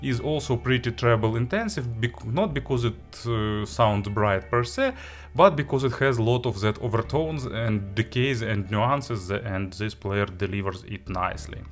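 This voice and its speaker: A man with a mid-pitched voice reviews audio gear as a non-native English speaker. His delivery is halting and hesitant, feeling out phrases as he explains. Music plays faintly behind him. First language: not English. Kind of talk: semi-scripted product review narration.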